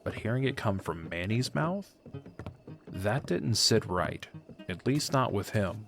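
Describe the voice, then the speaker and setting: Low-voiced male fantasy audio drama narrator. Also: expressive, a little slow, vocal fry, a bit bright, faint music behind.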